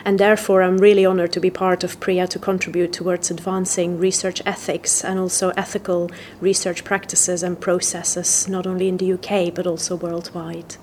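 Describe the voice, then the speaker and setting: A woman's voice: on the low side, little pitch movement, moderate pace, hardly any pauses, a little mellow, a little hiss or hum. A woman giving a prepared testimonial as a researcher in a formal, articulate way. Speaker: public mental health researcher.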